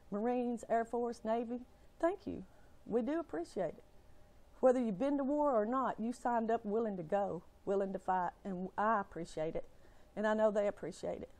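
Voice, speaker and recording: A woman's voice: medium pitch, brisk, a little mellow. An upset woman with a Southern US accent speaks straight to camera in an impassioned rant. Her voice shakes, and her delivery is emphatic and exasperated, with plain folksy phrasing. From Alabama, United States. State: upset, shaky voice. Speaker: family caregiver.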